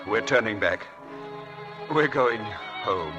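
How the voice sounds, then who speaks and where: An actor playing a volatile, bitter husband in a 1940s radio drama, intense and clipped. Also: male voice, on the low side, animated, takes it slowly, muffled recording. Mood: bitter, resigned. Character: volatile, bitter, self-reproaching husband in debt. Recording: old radio broadcast with faint music.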